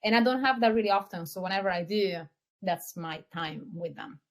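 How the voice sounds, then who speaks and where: A woman's voice: medium pitch, brisk. A young woman, a model and content creator, chatting easily as an interview guest, laughing often and using lots of fillers. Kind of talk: casual interview chat.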